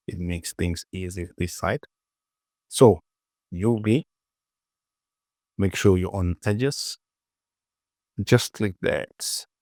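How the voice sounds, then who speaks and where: A man giving an informal software tutorial as a voice-over, often repeating words and short phrases. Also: low-pitched, no room sound, studio quality. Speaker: 3D modelling tutor. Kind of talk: casual screen-recorded software tutorial.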